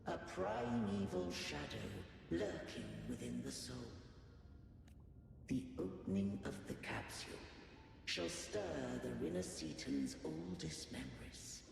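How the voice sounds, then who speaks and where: Ancient game guardian, heavy creak, stately archaic phrasing, solemn, echo-drenched. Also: male voice, medium pitch, huge pitch swings, takes it slowly, background noise, exaggerated voice. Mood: solemn, foreboding. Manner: archaic, grave, stately speech. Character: ancient solemn male guardian of a fallen civilization. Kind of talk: dramatic video game voice acting. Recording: long echoing reverb, music underneath. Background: game music.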